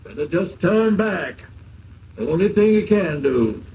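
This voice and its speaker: An actor playing a laconic, folksy rural guard who makes terse, stubborn threats in a radio drama. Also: male voice, high voice, lively intonation, measured pace, a little mellow. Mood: quietly threatening. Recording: dull, noisy old radio recording.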